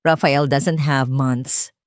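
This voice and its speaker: A woman's voice: a bass voice, steady tone, slow, no echo. A proud, commanding male doctor in an audio drama, acted out by a woman narrator. He is a desperate father who speaks in terse, insistent sentences with a Filipino accent, in a very dry recording. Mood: grim, insistent.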